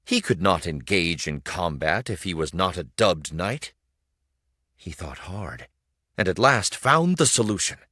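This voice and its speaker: A man reading a children's story aloud as scripted narration, with expressive, dramatic storytelling emphasis. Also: sits low, unhurried, no echo, studio quality. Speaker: storybook reader.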